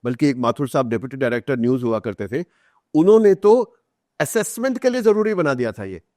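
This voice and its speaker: A man's voice: medium pitch, animated, a little fast, mellow, no room sound, studio quality. A man with an Indian accent tells stories in an interview, switching between Hindi and English with emphatic repetition. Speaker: author, podcast guest. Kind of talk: conversational storytelling in an interview.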